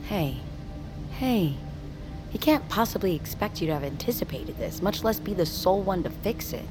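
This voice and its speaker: A woman's voice: mid-range voice, animated, moderate pace, music in the background, lightly acted. Easygoing female crew member played by an actress. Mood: concerned, supportive. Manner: casual, self-deprecating. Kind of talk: naturalistic audio drama acting.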